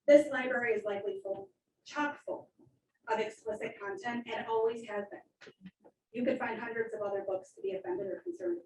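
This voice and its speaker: A woman's voice: on the high side, normal speed, darkish tone. A woman, a parent, delivering a firm, argumentative public comment at a meeting. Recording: echoey room, far from the mic, faint noise.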